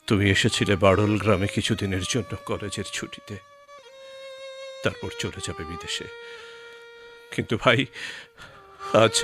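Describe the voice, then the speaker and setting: A man acting in a radio drama as a gentle, grieving village husband, speaking emotionally and haltingly and repeating his phrases. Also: lowish voice. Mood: solemn. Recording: music behind the voice.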